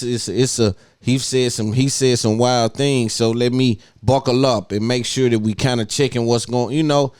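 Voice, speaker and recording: A man's voice: medium pitch, a little mellow, clear, resonant tone, no room sound. Emphatic male podcast host, dry close sound. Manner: emphatic, repeats phrases for emphasis. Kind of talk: opinionated podcast monologue.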